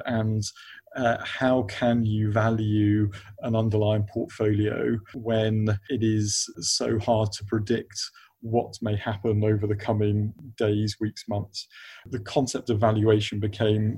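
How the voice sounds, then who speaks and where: Halting, even-toned man answering questions. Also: fairly low pitch, breathy edge. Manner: halting, repeats words as they search for phrasing. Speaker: interview guest, investment funds expert at a law firm. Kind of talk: unscripted business podcast interview.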